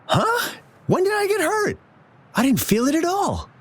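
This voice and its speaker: An actor playing an excitable, apologetic young civilian in a video game. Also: very high voice, measured pace, full character voice. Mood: excited, puzzled.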